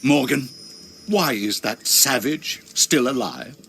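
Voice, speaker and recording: Imperious old knight commander, forceful. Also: male voice, mid-range voice, animated, takes it slowly, gravelly, a little hiss or hum, heavily acted. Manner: imperious, formal. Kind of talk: video game cutscene acting. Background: faint music.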